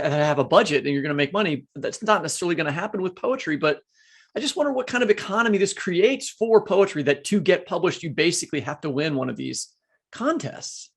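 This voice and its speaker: A man hosts a podcast in a fairly high voice with a pronounced gravelly creak. His delivery is conversational and joking, and it wanders and halts when he leaves the script. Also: fairly bright tone. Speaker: podcast co-host.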